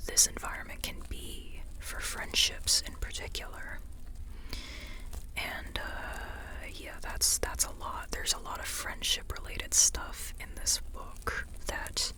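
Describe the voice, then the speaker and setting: An ASMR host talks in an unvoiced, breathy whisper with a very bright, sharp edge. The delivery is slow and informal, rambling along in short hushed phrases with frequent pauses, like a book review shared between friends. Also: extremely high pitch.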